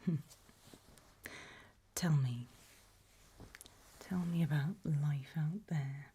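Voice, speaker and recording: A British woman whispers gently and unhurriedly in a breathy, reassuring ASMR medical roleplay as a doctor. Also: low-pitched, very slow.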